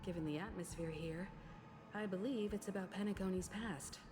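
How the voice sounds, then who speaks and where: An actress playing a calm, analytical travelling companion in a video game, speaking in a composed and thoughtful way. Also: female voice, mid-range voice, normal speed, lightly acted. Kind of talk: scripted video game dialogue. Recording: reverberant, music behind.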